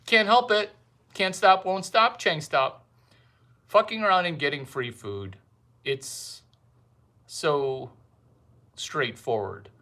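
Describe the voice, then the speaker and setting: A man doing a rambling comic monologue, provocative and fond of repeating his catchphrases with drawn-out emphasis. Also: on the high side, bright, forceful tone. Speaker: comedian host.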